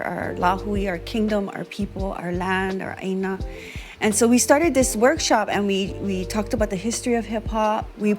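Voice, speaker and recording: Reflective Hawaiian woman mixing in island words. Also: mid-range voice. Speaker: music teacher and workshop leader. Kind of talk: documentary interview.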